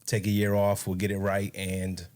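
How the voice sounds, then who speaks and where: A man in his late thirties jokes around with his wife on their podcast. He is relaxed and slangy, and his voice sits on the low side. He keeps an unhurried, playful tone. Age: 30s. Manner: laid-back, slangy, joking. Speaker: podcast co-host. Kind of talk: casual chat with his wife.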